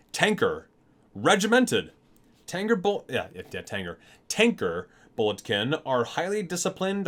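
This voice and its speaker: A man's voice: medium pitch, a bit bright. A man doing an ASMR read-aloud, mixing scripted lines with casual asides and often stumbling and correcting himself. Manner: halting, often repeats and corrects himself while reading. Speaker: video host reading aloud from a game book.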